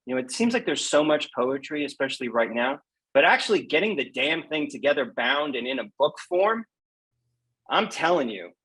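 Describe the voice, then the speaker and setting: A man talks as a guest in a relaxed interview, with a mid-pitched voice. He is frank and emphatic, often doubling back on a phrase to stress it. The style is conversational and informal. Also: rough recording. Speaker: poet interviewed as podcast guest.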